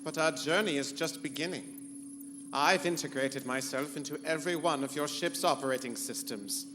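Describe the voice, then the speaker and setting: A man's voice: a little high, normal speed, noisy background, natural voice. Man playing pompous rogue spaceship computer in echoing hall. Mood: grandiose. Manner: grandiose, pompous. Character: haughty, theatrical rogue ship computer with petulant temper. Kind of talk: live sci-fi comedy radio play in pulp serial style. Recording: live convention hall stage, reverberant, music behind.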